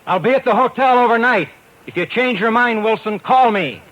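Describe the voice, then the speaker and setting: An American actor playing a determined talent agent who narrates, emphatic and hard-boiled, in a 1950s radio drama. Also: male voice, very high voice, moderate pace, a bit bright, highs cut off. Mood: insistent. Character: determined, brisk New York talent agent man, narrator. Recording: hissy old radio recording.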